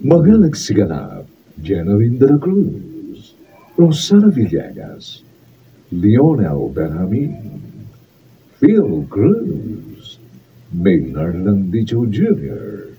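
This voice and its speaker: Deep, slow, dramatic Filipino male announcer. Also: wildly swooping pitch, vocal fry, warm tone. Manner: deliberate, dramatic delivery. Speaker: radio drama narrator and announcer. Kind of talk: solemn scripted narration and cast credits. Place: radio drama recording studio. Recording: distant pickup with faint noise.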